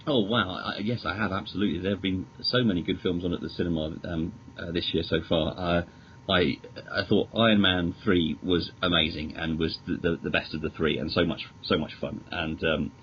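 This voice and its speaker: Deep-voiced British man on muffled, noisy audio. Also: steady tone, a little fast. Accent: British English. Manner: hesitant, rambling, enthusiastic. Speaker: magazine editor, podcast guest. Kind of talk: casual interview chat. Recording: dull lo-fi audio with clear noise.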